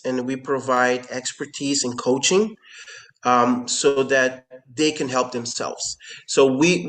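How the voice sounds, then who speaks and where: A man's voice: mid-range voice, fairly bright tone, low-quality recording. Earnest man. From Curacao. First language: Papiamento. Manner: earnest, repeats words as he searches for phrasing. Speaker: company founder, interview guest. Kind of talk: explanatory business conversation. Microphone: remote call.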